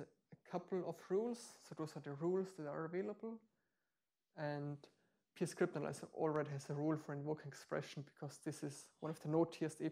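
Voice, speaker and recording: A man in his thirties giving a live software demo talk at a meetup, explaining step by step with frequent ums and restarts. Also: a little high, gravelly. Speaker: meetup speaker. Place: meetup room.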